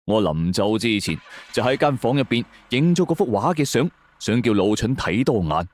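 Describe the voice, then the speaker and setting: A man's voice: mid-range voice, sing-song, moderate pace. A man with a Cantonese accent narrating a suspense story in the first person, in a dramatic scripted style. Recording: music bed under the voice.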